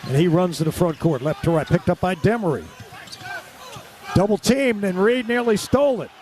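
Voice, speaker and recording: Higher-voiced man calling live basketball play-by-play. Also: moderate pace, a little mellow. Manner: clipped, vivid running descriptions. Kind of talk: live radio-style sports commentary.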